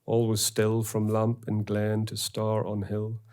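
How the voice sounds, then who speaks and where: A middle-aged man with a Northern Irish accent giving an academic lecture in a university auditorium, reading poems aloud in a measured way. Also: fairly low pitch, monotone, darkish tone, smooth. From Northern Ireland. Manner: measured, reads quotations slowly.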